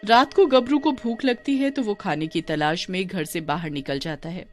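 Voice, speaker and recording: A woman's voice: on the low side, moderate pace, faint music behind. A woman giving scripted narration of a village horror tale as storyteller.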